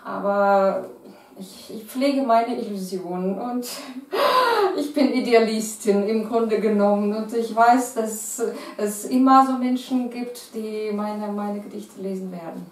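Woman, Russian accent, halting speech, very echoey, distant camera mic. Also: mid-range voice, lively intonation. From Kazakhstan. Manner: hesitant, many fillers and restarts. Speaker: poet. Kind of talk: interview answers. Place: living room with piano, indoors. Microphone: far camera.

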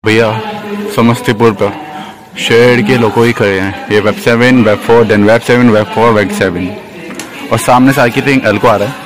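A man's voice: mid-range voice, steady tone, normal speed. A young man in his twenties vlogging casually from a train, talking by phone camera, mixing English words into his talk. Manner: chatty, mixes English words into Hindi. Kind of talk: casual vlog commentary. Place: moving train doorway and station platform. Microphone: phone camera held at arm's length. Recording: loud train and outdoor noise.

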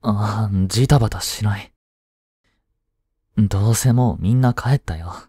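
A young man playing a teasing but tender schoolboy boyfriend in a voice drama, speaking casually and softly with hesitant pauses. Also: on the low side, husky, dry recording, studio quality. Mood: apologetic. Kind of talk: Japanese boyfriend voice drama, intimate one-sided dialogue.

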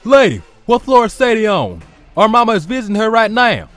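Man as an excitable, very high-pitched young pony. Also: a little fast, faint music behind, full character voice. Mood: demanding. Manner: excitable, urgent. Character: panicky, demanding young male pony. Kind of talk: horror audio story acting.

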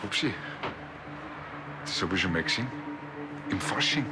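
A man's voice: lowish voice, animated, normal speed, roomy sound, distant mic, loud music behind the voice, natural voice. A man playing a wry, colloquial small-town policeman with a Bavarian accent in a TV crime comedy. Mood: dry, casual. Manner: dry, colloquial. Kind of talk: naturalistic TV crime comedy acting.

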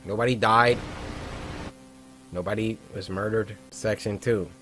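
A young American man giving casual, animated, slangy commentary as he reacts to horror videos. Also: on the low side, unhurried, faint music behind, low-quality recording. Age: young adult. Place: small foam-padded room, indoors.

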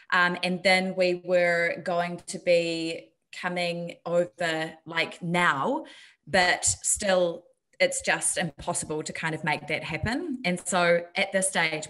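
Guest, female, thirties, New Zealand accent, lower pitch, candid and thoughtful, desk mic at home. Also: bright, forceful tone. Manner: open, reflective, many ums. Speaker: guest, health and performance coach. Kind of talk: candid interview over video call. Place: bright room at home. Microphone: desk mic on video call.

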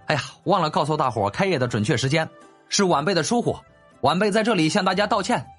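一位男演播者在有声书里扮演一个厚脸皮、爱做买卖的年轻贵族公子，语气轻快讨好。. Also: male voice, on the high side, animated, fast talker, fairly bright tone, breathy edge, soft background music, pitched above the performer's own voice. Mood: apologetic, ingratiating. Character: cheeky, shameless young nobleman turned merchant, glib and smiling. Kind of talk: audiobook character acting.

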